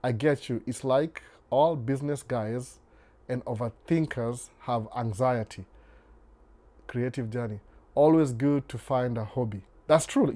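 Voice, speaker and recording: Man, mellow warm tone, laid-back live-stream host, answers viewer questions, mixes Swahili and English. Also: mid-range voice. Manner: relaxed, unhurried, switches between Swahili and English mid-sentence; reads viewer comments aloud and responds to them. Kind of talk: casual live-stream chat.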